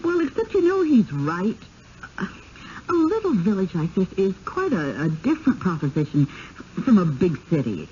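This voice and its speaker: An agreeable, mellow-voiced radio-drama wife. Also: female voice, medium pitch, wildly swooping pitch, normal speed. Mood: pleasant, reassuring. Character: agreeable wife, eager and placating, keen to settle. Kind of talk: 1970s radio drama acting. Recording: muffled, hissy 1970s radio broadcast.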